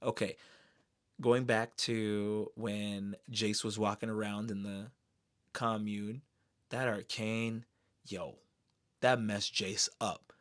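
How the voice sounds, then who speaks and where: Expressive, slangy guy vlogging. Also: lowish voice, slow. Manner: slangy, expressive, with exclamations and sound effects. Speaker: streamer reviewing an animated series. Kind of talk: casual excited fan commentary.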